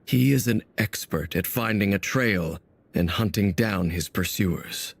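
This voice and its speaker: A man's voice: fairly low pitch, a little slow, vocal fry, slight background noise. An actor voicing a grave, knowing man in a video game, speaking formally, courteously and with deliberation. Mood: measured, curious. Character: grave, knowing man, philosophical about fate. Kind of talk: scripted game voice acting.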